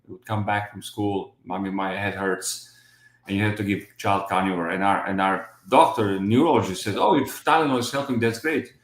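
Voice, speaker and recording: A man's voice: on the low side, a little fast, echoey room. A man in his fifties from Croatia with a Croatian accent, a guest on a video call who talks in a rambling way with many restarts. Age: 50s. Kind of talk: casual livestream chat.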